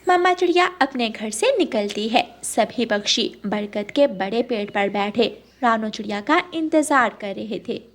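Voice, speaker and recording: A woman's voice: on the high side, expressive, unhurried, few pauses. A woman narrating a scripted children's cartoon story.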